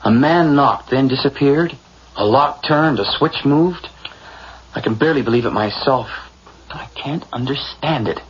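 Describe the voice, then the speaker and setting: An actor playing a skeptical husband in a suspense radio drama, by turns reassuring and exasperated, talking naturalistically in clipped, repeated phrases. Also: male voice, medium pitch, a little slow, lightly acted. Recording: muffled radio recording with loud background noise.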